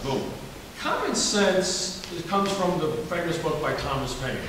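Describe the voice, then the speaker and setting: A man's voice: on the high side, big pitch swings, measured pace, noisy background. A man with a Southern US accent, a candidate for governor answering at a forum in an emphatic, folksy, repetitive way. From Alabama. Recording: echoey hall from far off.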